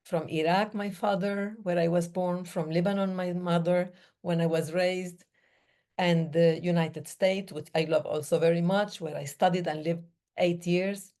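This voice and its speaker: Slow, soft-spoken woman, Middle Eastern-born. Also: fairly low pitch, fairly even intonation. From Middle East. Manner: slow, measured, with pauses between short phrases. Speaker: breathing day speaker. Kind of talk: reflective talk.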